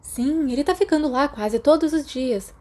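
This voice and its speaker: A woman with a Brazilian accent voicing a nervous, excitable teenage schoolboy in a storybook reading. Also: sits high, normal speed, pitched above the performer's own voice, natural voice. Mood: eager. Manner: stammering, excitable.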